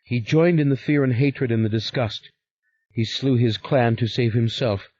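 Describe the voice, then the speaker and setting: A man's voice: medium pitch, lively intonation, moderate pace, mellow, pitched above the performer's own voice, natural voice. A man voicing a bitter, fierce young woman who accuses her brother with impassioned scorn. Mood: accusing. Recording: slightly muffled recording.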